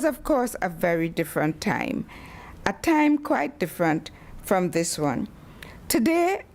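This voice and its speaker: Older Caribbean woman as guest lecturer, warmly formal, with a lively, swooping delivery. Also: mid-range voice. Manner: animated storytelling with acted-out quotes. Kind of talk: formal academic talk with personal tribute.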